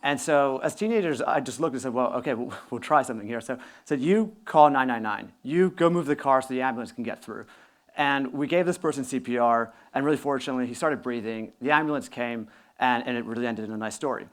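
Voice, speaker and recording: A man from northern Italy speaks on a conference stage through a stage mic. He tells his story fluently and earnestly, in a steady mid-pitched voice. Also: quick pace. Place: conference stage before an audience.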